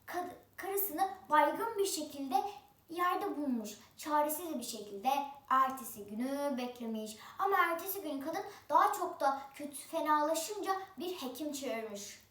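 A woman's voice: sits high, expressive, measured pace, fairly bright tone, far from the mic. A female storyteller telling a folk tale expressively and theatrically, stretching and repeating words. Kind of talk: oral folk-tale storytelling with rhyming opening. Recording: roomy echo, away from the mic.

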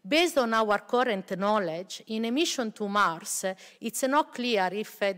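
A middle-aged woman researcher on a panel, explaining her field with many fillers and hesitations, speaking into a table mic in a large lecture hall. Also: medium pitch. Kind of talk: panel discussion answer. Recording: long hall reverb.

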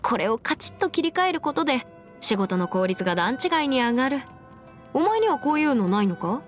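Young female legal expert, video game character, higher pitch, self-assured, formal lecturing delivery, playful pride, background music. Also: very animated, brisk. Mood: composed, self-assured. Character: confident, bookish young woman legal expert, proud and playful. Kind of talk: scripted anime-style game character monologue.

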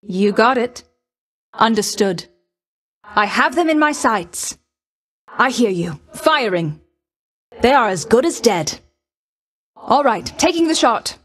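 A huntress goddess who speaks with cool, focused confidence. Her voice sits a little high and comes unhurried. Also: animated, a bit bright, full character voice. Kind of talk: video game voice lines.

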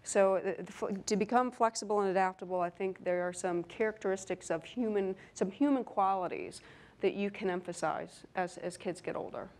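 A woman's voice: mid-range voice, a little fast, darkish tone. A woman in her thirties speaks on a conference panel stage as an engineer. She talks earnestly, often repeating words as she gathers her thoughts. She speaks into a lapel mic. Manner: earnest, with many repeated words and light humor. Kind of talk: panel discussion.

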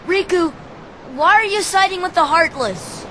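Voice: high voice, sing-song, normal speed, a bit bright, slight background noise. A performer playing an earnest, hot-headed young teenage boy hero in a video game, eager and emotional. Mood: upset.

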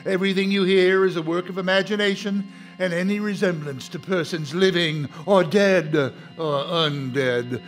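An actor playing a sinister, gloating inhuman host of a live horror radio show. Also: male voice, sits high, moderate pace, faint music behind, lightly acted. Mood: sardonic. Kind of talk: live old-time radio drama presenting.

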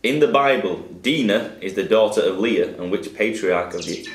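A man reading out pub quiz questions to a room of players, in a measured and clear way. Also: on the low side, lively intonation, soft background music. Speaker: quizmaster. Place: pub function room with a group at tables. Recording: roomy pub room sound.

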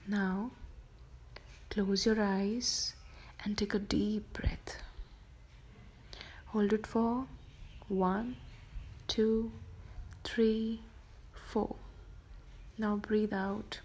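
A woman softly whispering a guided sleep meditation for children, slow and gentle. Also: mid-range voice, flat delivery. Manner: soft whispered delivery.

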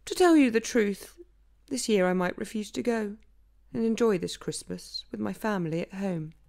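A woman's voice: mid-range voice, lively intonation, normal speed, gravelly, darkish tone, pitched above the performer's own voice. A woman voicing a weary little donkey who sighs and complains, in a storybook poem. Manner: sighing, weary.

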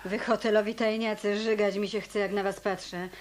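A woman's voice: medium pitch, flat delivery, normal speed, a little hiss or hum, natural voice. An actress playing a brash, scornful young woman in a radio drama, curt and insolent. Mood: scornful, irritated.

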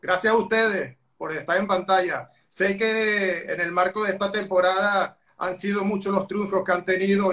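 A man's voice: high-pitched, fairly bright tone. A man hosting an online interview over a video call from a dining room at home, speaking formally like an announcer. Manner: formal, announcer-like, with baseball flourishes. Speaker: interviewer, channel host. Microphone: webcam on a video call.

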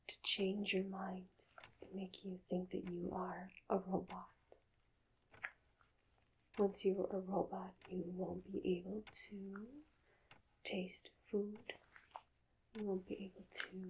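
A woman speaking in a hushed, halting, calm half-whisper, soft-spoken in an ASMR roleplay as a doctor, close to the mic. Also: medium pitch, a little hiss or hum, rough recording. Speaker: ASMR performer playing a hypnotist doctor.

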